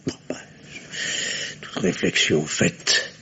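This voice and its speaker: A man's voice: takes it slowly, pushed and bright. An actor playing a bitter, sarcastic middle-aged family man in an old French radio mystery play, sardonic and mock-polite, on a muffled recording. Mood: sarcastic, biting.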